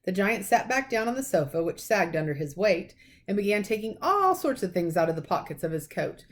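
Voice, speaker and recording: A woman reading a children's story aloud like a teacher, in expressive narration. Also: mid-range voice, brisk.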